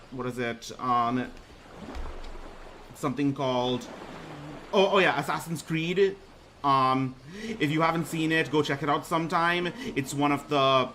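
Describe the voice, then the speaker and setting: A young man with a baritone voice introducing himself on his debut stream, talking haltingly with lots of ums and restarts. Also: sharp tone, slight background noise. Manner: hesitant, many ums and restarts. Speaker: VTuber streamer. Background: music playing.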